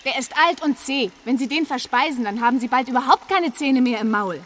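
An actress playing a resourceful, cheeky heroine in a children's radio play, with a brisk, lecturing, persuasive manner. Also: female voice, high-pitched, animated, fairly bright tone, slight background noise. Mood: scolding.

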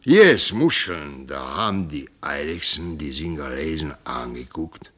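Deep, slow, rambling old sea captain. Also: male voice, very animated, a bit bright, breathy edge, exaggerated voice. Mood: confiding. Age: older. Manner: rambling, halting, sprinkles English sailor words. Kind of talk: German radio play acting.

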